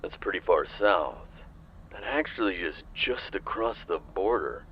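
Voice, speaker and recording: A man playing a calm, businesslike police chief in an audio roleplay, heard through a phone filter. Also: low voice, unhurried, husky, full character voice. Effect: phone call filter. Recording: tinny phone line.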